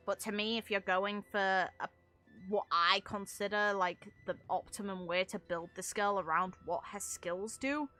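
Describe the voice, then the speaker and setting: A woman's voice: mid-range voice, pushed and bright, no room sound. A woman game streamer giving casual, rambling livestream commentary, explaining things at length with casual swearing.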